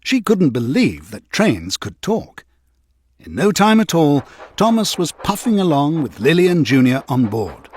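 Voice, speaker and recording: A man narrating a children's read-along story in an expressive, scripted storytelling manner. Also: fairly high pitch, a little slow, slightly rough.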